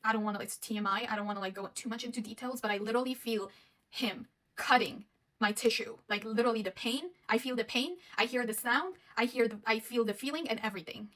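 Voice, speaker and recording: A woman's voice: on the high side, fast talker, fairly bright tone. A young woman who works as a dental hygienist, from Afghanistan, telling a personal story in a casual, rambling way with many fillers and restarts.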